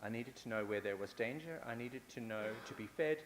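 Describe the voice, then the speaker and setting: A man's voice: medium pitch, fairly quick. Man speaking in an echoey hall. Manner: repetitive, rhetorical, with fillers and restarts. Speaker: conference speaker. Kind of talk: conference talk. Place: large conference hall. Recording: echoey hall with faint hiss.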